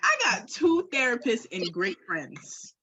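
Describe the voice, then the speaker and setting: A woman's voice: on the high side, big pitch swings, takes it slowly, pushed and bright, breathy edge, no echo. A woman in casual, candid conversation, speaking in an informal, reflective and emphatic way. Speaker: podcast co-host. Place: phone call.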